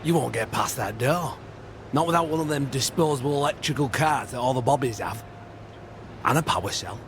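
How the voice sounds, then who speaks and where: An actor with a British accent playing a bitter, gruff old war veteran, blunt, sardonic and sweary, in a video game. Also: male voice, mid-range voice, fairly quick, over music, lightly acted. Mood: mocking. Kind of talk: video game cutscene acting.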